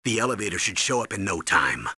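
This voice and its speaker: Older American military commander for a game: authoritative, clipped orders, gravel-edged, dry close sound. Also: male voice, deep voice, very animated, quick pace, bright, forceful tone, dry recording, heavily acted. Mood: instructive. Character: stern older military colonel giving mission orders by radio. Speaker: mission commander and briefer. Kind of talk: scripted video game radio briefing.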